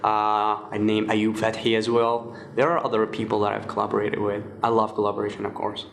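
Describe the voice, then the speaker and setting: Twenties guy from Morocco, low steady voice, relaxed, filler-heavy interview answers. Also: a little fast, creaky. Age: 20s. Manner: relaxed, many fillers and restarts. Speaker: guest, security researcher.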